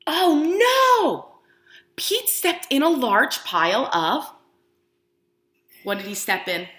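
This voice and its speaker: A woman teacher doing an expressive picture book read-aloud for young children, asking questions with encouraging, exaggerated delivery and pausing for answers. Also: on the high side, echoey room.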